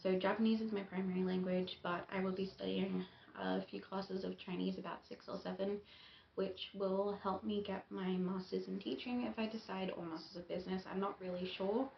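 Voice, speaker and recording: A young woman chatting casually on her book vlog, with plenty of ums and soft laughs. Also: mid-range voice, level tone, brisk, vocal fry. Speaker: book YouTuber. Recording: echoey room, dull lo-fi sound, faint hiss.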